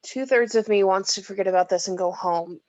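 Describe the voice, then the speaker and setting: A woman's voice: medium pitch, a little fast, a little mellow, dry recording, muffled recording, close to an everyday voice. A woman playing an exasperated, sceptical reluctant heroine in an amateur screenplay table read. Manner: wry, exasperated.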